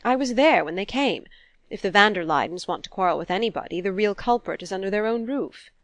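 A woman reading a storybook as an ironic, impatient young gentleman, polite but argumentative. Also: mid-range voice, expressive, fairly quick, no room sound, natural voice. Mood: exasperated.

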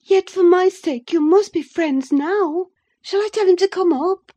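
A woman reading a story in the part of an excitable, imperious young gentlewoman with a gushing, theatrical manner. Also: high-pitched, moderate pace, dry recording, pitched above the performer's own voice. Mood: eager, pleading. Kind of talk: dramatised audiobook reading.

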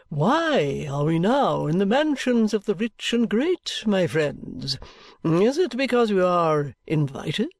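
A man voicing an oily, pompous male preacher who asks slow, drawn-out rhetorical questions. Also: high voice, no echo, studio quality, pitched above the performer's own voice, heavily acted.

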